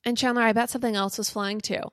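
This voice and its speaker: A woman's voice: on the high side, steady tone, quick pace, no room sound, clean studio sound. A woman co-hosting a podcast, telling a recap in a conversational, teasing and playfully dramatic way. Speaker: podcast co-host.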